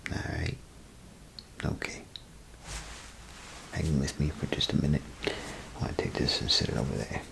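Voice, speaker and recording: A man playing a chatty, folksy bathroom attendant in a soft ASMR role play, speaking in a gravelly, breathy half-whisper with rambling, hesitant phrasing. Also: very deep voice, a little slow, pauses often, full character voice, low-quality recording. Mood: friendly, fussing. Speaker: ASMR performer. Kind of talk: ASMR role play, softly spoken to the listener. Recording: close ASMR recording, clear background noise.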